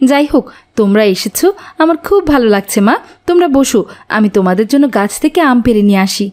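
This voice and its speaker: Fast-talking village mother voiced by a woman. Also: a little high, animated, darkish tone, lightly acted. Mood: hospitable. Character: warm-hearted village mother, hospitable auntie. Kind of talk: cartoon dialogue.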